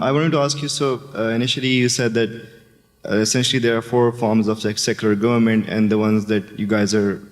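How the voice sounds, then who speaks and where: A man from Pakistan in the audience asking the panel a question, hesitant and searching for words. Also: lowish voice. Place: university lecture hall. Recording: reverberant university hall.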